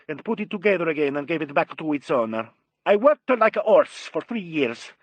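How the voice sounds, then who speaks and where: A man's voice: sits high, a bit bright, no room sound, voice pushed up, heavily acted, rough recording. A man voicing a worldly Italian singing teacher in an audiobook, with an Italian accent and a courtly, theatrical, dryly mocking manner. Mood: mock despair. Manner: courtly, theatrical, dry, formal phrasing. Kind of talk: audiobook character dialogue.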